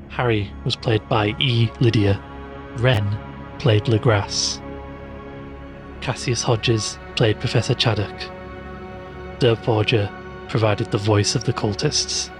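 A man's voice: medium pitch, lightly acted. A man playing an uneasy, questioning podcast investigator in an audio drama. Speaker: host and narrator of an audio drama. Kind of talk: audio drama narration and acting.

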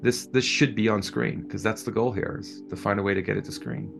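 A man with a fairly low voice gives a reflective interview as a producer. He speaks earnestly and with enthusiasm, often doubling back on words while he searches for the right phrase. Faint music plays behind him. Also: fairly even intonation, brisk, vocal fry. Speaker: audio drama producer being interviewed.

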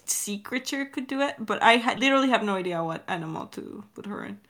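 Animated, bright-voiced woman chatting casually. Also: medium pitch, quick pace. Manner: giggly, teasing, expressive. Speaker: audio drama creator answering listener questions. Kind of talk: casual unscripted chat with a co-creator.

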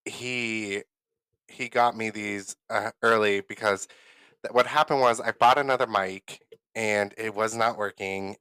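A man's voice: fairly low pitch, bright, forceful tone, smooth, no room sound. A man co-hosting a podcast in casual chat, rambling and giggly and losing his train of thought, on a close podcast mic in a small home studio. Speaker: podcast co-host.

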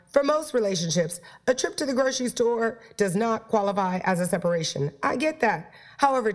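A woman hosting a playful, flirty talk show about sex and relationships, her voice stuffed up from a cold. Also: medium pitch. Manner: playful, flirty, talks straight to listeners. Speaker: podcast host.

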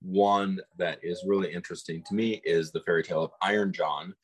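A man co-hosting a podcast, talking in a measured, thoughtful way with frequent restarts. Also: sits low, steady tone, a little hiss or hum. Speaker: podcast co-host. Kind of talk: conversational discussion of folklore.

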